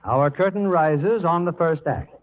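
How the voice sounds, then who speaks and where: A man's voice: on the high side, measured pace. A man hosting and narrating a 1940s radio theatre in a formal, theatrical scripted style. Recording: muffled 1940s radio broadcast.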